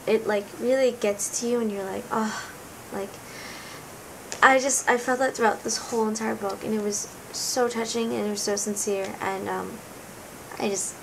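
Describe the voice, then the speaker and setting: Raspy teenage girl reviewing a book. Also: rough recording. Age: teens. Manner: earnest, rambling, searching for words. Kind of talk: casual book review vlog. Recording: noticeable rumbling background noise.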